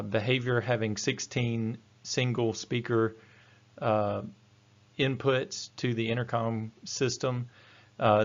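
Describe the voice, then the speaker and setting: A man's voice: fairly low pitch, highs cut off. A man giving a matter-of-fact, methodical technical lecture as a training instructor. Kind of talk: slide-based technical lecture.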